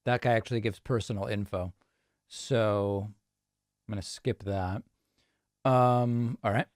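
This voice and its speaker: A man's voice: lowish voice, steady tone, takes it slowly, no echo. Casual male host, dry close sound. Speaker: show host. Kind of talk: casual live commentary on voicemails.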